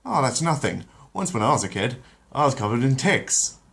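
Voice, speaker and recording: A man's voice: medium pitch, sing-song, moderate pace, creaky, fairly bright tone, slightly rough, pitched above the performer's own voice, exaggerated voice. A man voicing a dim-witted, boastful cartoon father with a smug, goofy delivery.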